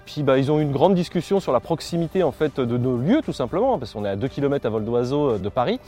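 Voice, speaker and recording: A man talking casually and quickly in an interview clip, with frequent fillers. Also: medium pitch, big pitch swings, dark tone, faint music behind. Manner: rapid, with fillers.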